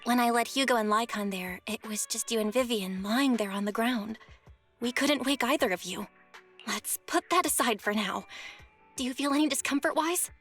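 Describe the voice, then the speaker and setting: A caring young sister talks in a concerned, chatty way. Her voice sits a little high, and faint game music plays behind. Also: normal speed, a bit bright.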